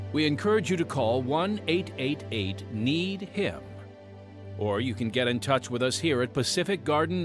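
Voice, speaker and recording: Animated American male radio announcer. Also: mid-range voice. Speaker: podcast host and announcer. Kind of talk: scripted radio announcing and narration.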